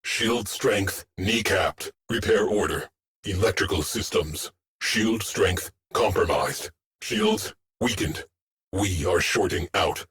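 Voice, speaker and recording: Low, slow, stern starship AI. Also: male voice, full character voice. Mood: stern, clipped. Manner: clipped, deadpan orders in short fragments. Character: terse military starship AI, male, stern and stoic. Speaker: ship's tactical AI officer. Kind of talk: video game mission callouts.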